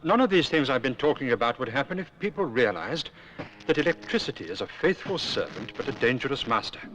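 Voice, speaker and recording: A man's voice: mid-range voice, very animated, fairly quick, a bit bright, dull audio. A man voicing a wise, stern older cartoon owl who gives didactic safety warnings in a public information film. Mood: grave, advising. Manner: didactic, admonishing. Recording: faintly noisy old 1970s film soundtrack.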